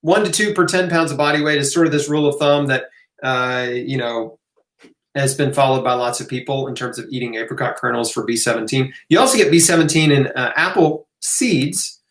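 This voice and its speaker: A man's voice: mid-range voice, fairly quick, a bit bright. A man hosting a casual live Q&A, answering questions off the cuff in a conversational way with emphatic asides. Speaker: host of a live health Q&A. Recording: roomy, echoing room sound.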